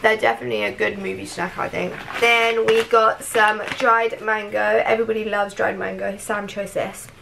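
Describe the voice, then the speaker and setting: A woman in her twenties chatting casually and expressively to a vlog, croaky from a cold and sore throat. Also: medium pitch, fairly even intonation, moderate pace, few pauses. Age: 20s. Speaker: vlogger. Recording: clear background noise.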